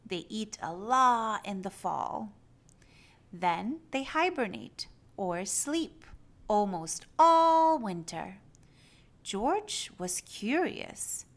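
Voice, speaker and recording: Woman, children's storybook reader, slightly high voice, lively varied intonation, expressive read-aloud delivery. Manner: animated, acts out animal sounds and exclamations.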